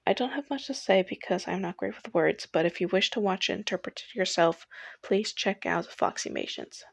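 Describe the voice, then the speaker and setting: A woman's voice: medium pitch, normal speed, vocal fry, no echo. A young adult woman narrating a scripted personal video essay.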